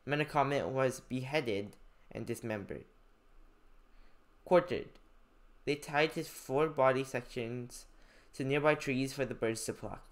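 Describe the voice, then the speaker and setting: A young adult man reading a novel aloud like a student, with informal commentary and some halting stumbles. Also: mid-range voice. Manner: haltingly stumbles over unfamiliar names. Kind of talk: read-aloud with informal note-taking commentary.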